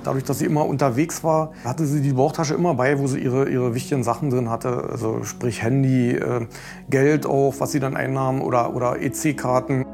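Gravelly man in a colloquial interview. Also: mid-range voice, little pitch movement, moderate pace, darkish tone. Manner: colloquial, tag questions. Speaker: interviewee, son of a crime victim. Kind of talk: spontaneous documentary interview. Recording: faint music bed under interview.

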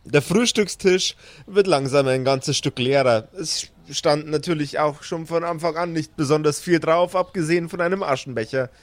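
A man's voice: on the high side, moderate pace, dry recording. A man narrating an improvised story playfully as game master.

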